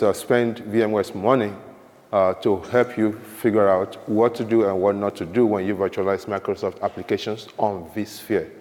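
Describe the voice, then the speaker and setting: Low-pitched man, playful co-presenter, teasing, reverberant hall. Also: darkish tone. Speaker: solutions architect, co-presenter. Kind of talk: joking conference talk. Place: large conference hall.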